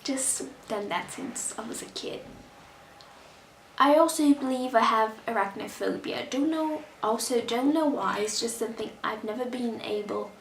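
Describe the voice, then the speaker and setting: A young woman from Brazil chats straight to camera in English, her accent drifting between Brazilian, American and British. Her voice sits a bit high, and she talks in a lively, animated way. The room gives it a noticeable echo, with a faint hiss underneath. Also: normal speed. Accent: mixed Brazilian, American and British. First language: Portuguese. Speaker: vlogger. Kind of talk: casual direct-to-camera vlog.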